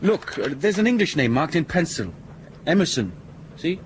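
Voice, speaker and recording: Expressive, observant crewman. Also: a little high, normal speed, a little hiss or hum. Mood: puzzled. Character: observant, deferential crewman puzzling over controls. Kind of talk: radio drama acting.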